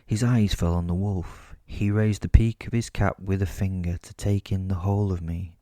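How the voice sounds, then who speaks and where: A man playing a bored, foul-mouthed werewolf, telling his story in a wry, conversational way for a dark comedy audio drama. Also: low-pitched, fairly even intonation, moderate pace, no room sound, clean studio sound, lightly acted. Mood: amused suspense. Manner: conversational, wry, casually sweary.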